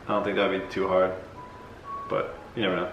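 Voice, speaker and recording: A man's voice: low voice, completely flat delivery, a little fast, dull audio, soft background music. A young man hosting a casual question-and-answer vlog, talking loosely with lots of fillers. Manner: loose, hesitant, many fillers. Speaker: vlogger host and decathlete. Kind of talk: casual Q&A chat. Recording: echoey with faint noise.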